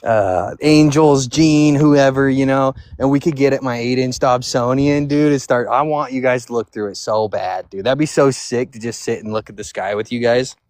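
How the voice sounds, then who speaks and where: A man with a mid-pitched voice talks casually on a live-stream panel. His speech is slangy and buddy-like, peppered with bro and dude. The sound is dry, with little room echo. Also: fairly even intonation, normal speed, hardly any pauses, a little mellow.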